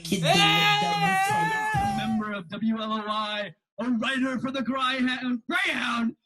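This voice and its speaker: Young man hyping someone up, very high, sharp, excited shouting. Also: slow. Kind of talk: hype introduction.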